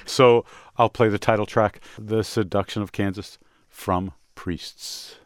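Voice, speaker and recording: A man's voice: lowish voice, steady tone, takes it slowly, dry recording, studio quality. A man co-hosting a music radio show, chatting casually and explaining in an informal, rephrasing way. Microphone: close studio microphone.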